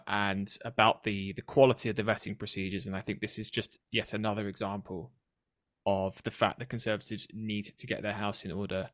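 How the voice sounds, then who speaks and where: A British man with a nasal voice, hosting a political podcast in an earnest, serious and somewhat halting way. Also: lowish voice, fairly bright tone, no room sound, clean studio sound. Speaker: podcast host interviewing a colleague. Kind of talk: conversational political podcast interview.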